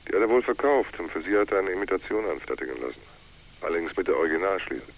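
An actor playing a brisk, matter-of-fact investigator in a radio drama. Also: male voice, sits low. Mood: businesslike.

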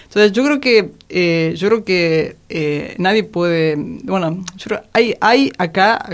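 A woman with a Rioplatense Spanish accent explaining as an expert radio guest, deliberate, often checking with short tag questions. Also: lowish voice, animated. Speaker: interview guest, academic expert. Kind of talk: explanatory radio interview talk.

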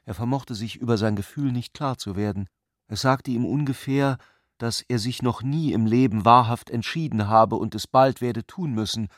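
A man's voice: medium pitch, moderate pace, dry recording. Dry, studio-close male literary narrator. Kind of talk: literary audiobook-style scripted narration.

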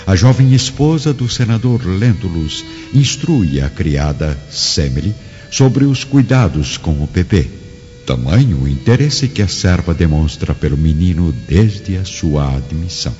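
Very deep-voiced man narrating, long reverb. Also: animated, measured pace, warm tone. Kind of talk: scripted radio drama narration. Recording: dull lo-fi, long reverb, music behind.

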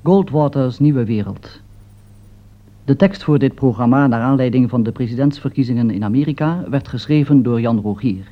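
A muffled old radio recording of a Dutch-accented man. He reads credits as a scripted sixties announcer, in a medium-pitched voice. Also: moderate pace. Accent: Dutch, from the Netherlands. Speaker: announcer reading programme credits.

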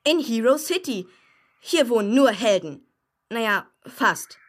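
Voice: medium pitch, animated, measured pace, a bit bright. A young performer playing a chatty, cocky boy superhero who likes to explain things, in a children's radio play. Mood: excited, explaining. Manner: breezy, eager, explanatory, casual.

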